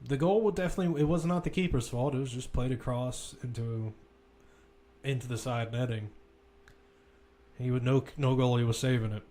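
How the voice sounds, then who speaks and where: Male football commentator, mid-pitched, live running play-by-play with off-the-cuff asides and corrections. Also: normal speed, warm tone.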